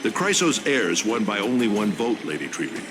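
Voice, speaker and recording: Deep-voiced, courteous veteran advisor. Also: male voice, big pitch swings, over loud music. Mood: grave, cautious. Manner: formal, deferential, deliberate. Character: seasoned, courteous male advisor, grave and cautious. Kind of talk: dramatic video game voice acting.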